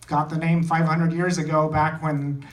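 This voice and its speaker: A man's voice: fairly high pitch, little pitch movement, normal speed. A man from India performing a stand-up comedy routine, delivering short phrases with a dry, self-deprecating manner. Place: small comedy theater, on stage before an audience. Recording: echoey club, distant mic, loud noise.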